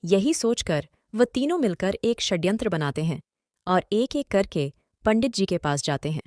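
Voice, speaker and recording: A woman's voice: medium pitch, lively intonation, moderate pace, a little mellow, dry recording, studio quality. A woman narrating a scripted story as host and storyteller.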